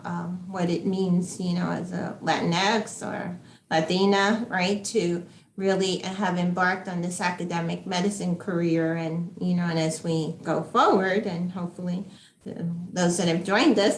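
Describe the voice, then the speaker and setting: Low-voiced woman moderating in an echoey room. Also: slight background noise. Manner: hesitant, many fillers and restarts. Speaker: panel moderator. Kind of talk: online panel moderation.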